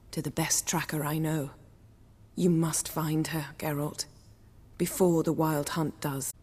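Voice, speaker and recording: Low voiced sorceress lady, posh wording, teasing n sure of herself, game dialogue, big hall echo. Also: darkish tone. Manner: articulate, formal, arch. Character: poised, teasing, self-assured sorceress, adult woman. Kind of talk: dramatic video game voice acting. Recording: long hall reverb.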